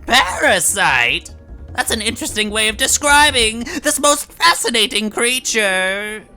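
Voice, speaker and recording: A woman in a fan dub voicing an obsessive, condescending, sinister professor with a deliberate, theatrical way of lecturing. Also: on the high side, bright, forceful tone. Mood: smug fascination. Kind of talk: fan dub voice acting of a video game scene.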